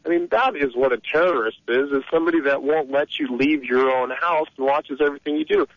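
A man's voice: fairly high pitch, brisk, no room sound. Heated male caller on a dull phone line. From Egypt. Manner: heated, rambling, opinionated. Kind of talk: call-in radio talk. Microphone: telephone.

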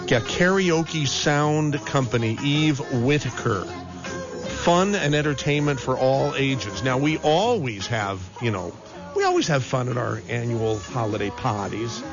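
A man's voice: mid-range voice, expressive, a little slow. Rambling, scratchy-voiced male radio host. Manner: rambling, self-interrupting, chatty. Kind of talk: casual on-air banter. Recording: dull lo-fi radio broadcast, faint music.

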